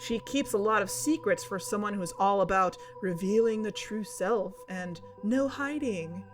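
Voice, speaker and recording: A woman's voice: medium pitch, unhurried, background noise, lightly acted. An actress playing a skeptical, anxious amateur investigator in a naturalistic fiction podcast, candid and conversational in her doubts.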